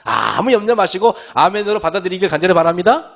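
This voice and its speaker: A man preaching to his congregation with a voice that sits noticeably high for a man. His throat is hoarse, and he clears it often. He speaks earnestly, repeatedly turning to his listeners with rhetorical questions. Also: big pitch swings, fast talker, a bit bright. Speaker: pastor. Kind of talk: sermon to a congregation.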